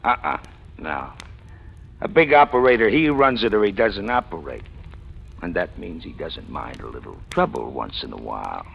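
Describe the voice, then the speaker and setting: An actor playing a talkative, self-deprecating ex-convict in an old radio crime drama, with a gravelly, folksy, slangy delivery. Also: male voice, low-pitched, very animated, normal speed, exaggerated voice. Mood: musing, blunt. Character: talkative, self-deprecating ex-convict stick-up man, cheerfully fatalistic. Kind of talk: 1940s-50s radio crime drama acting. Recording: muffled, hissy old radio recording.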